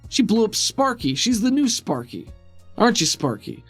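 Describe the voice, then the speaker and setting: A man's voice: high voice, big pitch swings, moderate pace, a bit bright, breathy edge, soft background music, voice pushed up, exaggerated voice. Man putting on a taunting, jeering tribesman. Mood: mocking, jeering. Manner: taunting, sneering. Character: mocking, jeering male tribesman.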